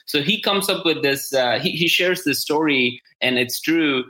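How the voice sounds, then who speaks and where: A man's voice: a little high, measured pace, bright, forceful tone. A man hosting a business podcast, talking casually and enthusiastically, often repeating words as he goes. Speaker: podcast host.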